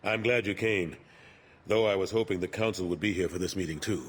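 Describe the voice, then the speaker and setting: A man playing a seasoned, frank military admiral in a video game, with a husky, measured voice. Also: deep voice, a bit bright, a little hiss or hum. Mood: dry, grumbling. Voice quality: husky, rough-edged.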